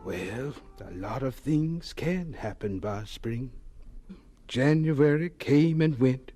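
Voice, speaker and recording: A man acting an earnest, humble, determined scientist in an audio drama, speaking haltingly with feeling. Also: mid-range voice, animated, warm tone, faint music behind. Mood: reflective.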